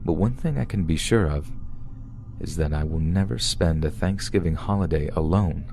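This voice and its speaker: A man narrating a scripted first-person horror story in a steady, even read. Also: sits low, normal speed, faint music behind.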